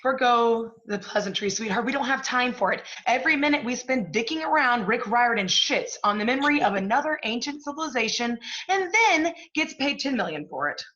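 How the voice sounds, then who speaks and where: An actress plays a domineering female literary agent in a one-act play, with a fairly high voice. She is blunt, profane and impatient. The recording is slightly dull and lo-fi. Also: lively intonation, brisk, few pauses, fairly bright tone. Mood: brusque, impatient.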